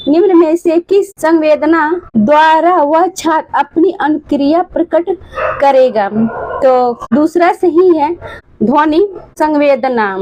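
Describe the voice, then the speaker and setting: Teacher, female, hoarse, high pitch, brisk answer-by-answer exam review. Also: darkish tone, poor audio. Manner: addresses students fondly, reads questions then answers.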